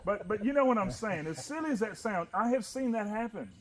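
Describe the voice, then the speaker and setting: A man with a big, loud voice, leading an informal musket training session for his group and reading out the rules. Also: sits high, fairly quick. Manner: loud, animated, talks with his hands in stories.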